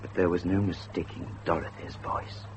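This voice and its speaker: Low-voiced, brooding young Victorian student acted by a man. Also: fairly even intonation, moderate pace, highs cut off, background noise, close to an everyday voice. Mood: troubled, intense. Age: young adult. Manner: brooding, formal, dramatic. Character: earnest, haunted young Victorian student with dark secrets. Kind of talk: period radio drama storytelling.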